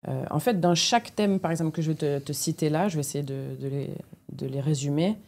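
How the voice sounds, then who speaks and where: Low, mellow-voiced woman. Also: fairly quick. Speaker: elite jiu-jitsu athlete, podcast guest. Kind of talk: explanatory interview talk.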